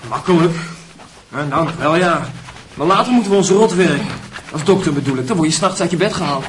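An actor playing a young male medical student, dutiful and evasive, speaking haltingly in a radio drama. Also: fairly high pitch, expressive, a little hiss or hum, natural voice. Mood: casual, defensive. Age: young adult. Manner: halting, hesitant delivery.

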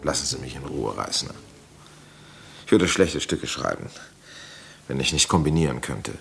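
An actor playing an agitated playwright and secret lover in a German crime radio play, speaking in an urgent, halting, emotional way. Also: male voice, a bass voice, very animated, gravelly, a bit bright, soft background music, full character voice. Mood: guarded, curt.

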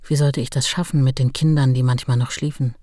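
A woman's voice: extremely low pitch, fairly even intonation, a little fast, dark tone, no room sound. A devoted, long-suffering wife in a radio play, speaking close and very dry. She looks back plainly, without fuss, in a matter-of-fact monologue. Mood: resigned.

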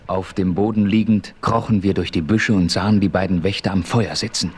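A man's voice: low-pitched, steady tone, brisk, husky. An actor playing a resolute, commanding Western adventurer who narrates his story in a 1970s radio play. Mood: tense. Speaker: narrator and lead character. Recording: faintly noisy old radio play recording.

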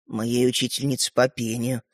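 A man voicing a bewildered schoolboy in a children's story, mild but stubborn, speaking haltingly and unsurely. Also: medium pitch, little pitch movement, dry recording, studio quality, voice pushed down, natural voice. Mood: sheepish.

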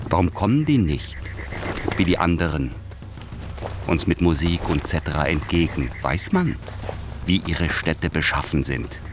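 A man acting in a radio play, narrating and voicing a cold, imperious conquering warlord, with loud music underneath. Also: deep voice, lively intonation, a little slow, long pauses, noisy background. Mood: imperious, questioning.

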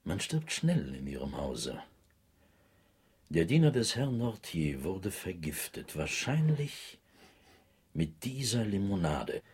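An actor playing a stern, suspicious physician in a German radio drama, speaking in a husky, pointed, deliberate way. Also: male voice, low-pitched, very animated, darkish tone, a little hiss or hum, full character voice. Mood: grave, pointed.

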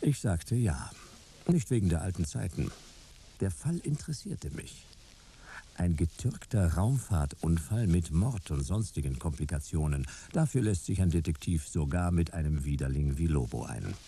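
An actor playing a dry, hard-boiled private detective in a noir radio play, speaking and narrating in terse phrases. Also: male voice, low voice, very animated, moderate pace, warm tone. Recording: clear background noise.

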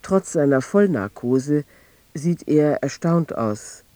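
A man narrates a radio drama in a mellow, fairly light-pitched voice. He is measured and detached, recorded very dry, like someone calmly reporting on strangers. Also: no echo. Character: detached male narrator noting times, places and people.

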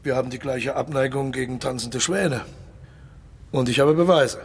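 An actor playing a suave, cynical mercenary colonel and old-hand spy in a radio play, polite but insistent, condescending and menacing. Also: male voice, medium pitch, moderate pace, slightly rough, natural voice. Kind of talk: German radio play acting.

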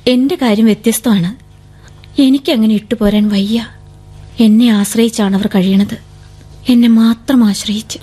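A woman's voice: fairly high pitch, steady tone, a little slow, pauses often, warm tone, slightly rough. An actress playing a young woman torn between her lover and the six children who depend on her, in a radio drama. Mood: earnest. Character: young woman Shari, devoted eldest sister torn by love. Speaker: lead character. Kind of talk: 1970s radio drama acting. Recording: old radio broadcast with faint music.

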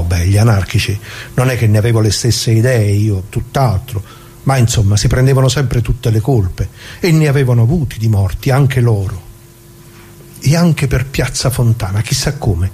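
A man's voice: medium pitch, dark tone, a little hiss or hum, close to an everyday voice. An actor playing a chatty, good-natured but indignant retired old man in a radio play, talking in a colloquial, rambling way straight to listeners. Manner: colloquial, rambling, addresses listeners, repeats himself. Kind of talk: dramatic monologue in radio play.

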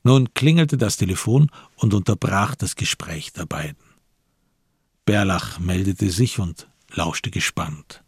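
A man reading literary narration for a radio play in a measured storytelling manner. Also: sits low, slightly rough, dry recording, clean studio sound.

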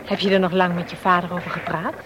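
A woman's voice: on the low side, little pitch movement, fast talker, noisy background, natural voice. An actress playing an inquisitive, frank and concerned young woman in a radio drama, conversational and questioning. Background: vehicle sound effects.

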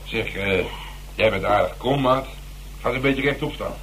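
A man playing a blunt, teasing police detective in a radio drama, talking in gruff, mocking slang. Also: mid-range voice, normal speed, fairly bright tone, lightly acted. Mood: bossy, teasing. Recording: noisy, humming old radio play tape.

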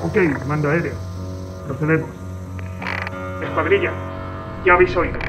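A man's voice: a little high, normal speed, natural voice. Man playing a clipped, distant squadron captain. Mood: cool, commanding. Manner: clipped, formal. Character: cool, clipped military squadron captain pilot. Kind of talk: audio drama radio report. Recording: distant voice over music.

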